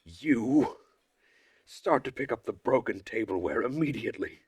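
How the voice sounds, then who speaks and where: A man's voice: medium pitch, expressive, unhurried, slightly rough, no echo, pitched above the performer's own voice. Man voicing a stern, scolding female camp leader. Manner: scolding, bossy. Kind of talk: visual novel character voice.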